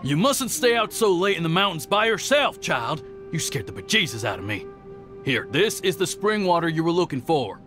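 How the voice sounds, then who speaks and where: Exasperated father, bright swooping voice. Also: on the high side, big pitch swings, moderate pace, soft background music. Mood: worried relief. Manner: scolding. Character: exasperated, stern but caring father. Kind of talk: anime video game voice acting.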